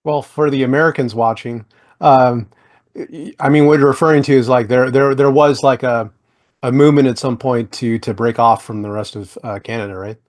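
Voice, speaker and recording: A man's voice: mid-range voice, fairly even intonation, moderate pace. A man hosting a podcast interview, talking in a friendly, chatty way with many fillers like "like" and "you know". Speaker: podcast host. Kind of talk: casual interview chat.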